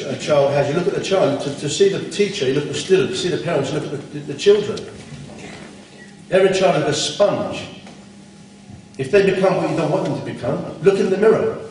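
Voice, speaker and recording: A man's voice: a little high, a little mellow, slight background noise. A man giving a blunt, scolding Islamic talk at a conference, full of rhetorical questions. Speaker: conference speaker. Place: conference hall. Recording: echoey hall from far off.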